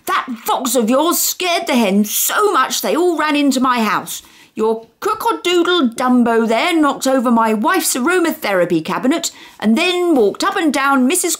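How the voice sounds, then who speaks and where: A woman acting out a furious middle-aged man with a volcanic temper, roaring and ranting in a storybook reading. His outrage climbs high and loud, the delivery swinging wildly. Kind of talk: audiobook character reading.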